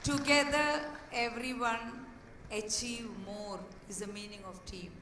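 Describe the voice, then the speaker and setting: A woman giving an earnest, hoarse speech as a school head at an event, pausing with fillers and restarts. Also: fairly high pitch, steady tone. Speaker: guest speaker, school head. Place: large hall. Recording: echoey hall, distant mic, faint noise.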